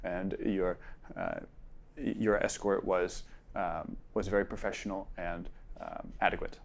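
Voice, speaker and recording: A man voicing a sassy elven royal with formal, halting, faintly condescending politeness in a tabletop game. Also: sits low, steady tone, creaky, voice pushed down. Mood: haughty politeness.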